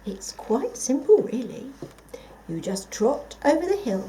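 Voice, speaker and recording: A woman's voice: sits high, very animated, unhurried, dark tone, slight background noise, pitched above the performer's own voice, low-quality recording. A woman voicing a sly male fox in a storybook, coaxing and falsely innocent as he schemes. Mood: falsely kind.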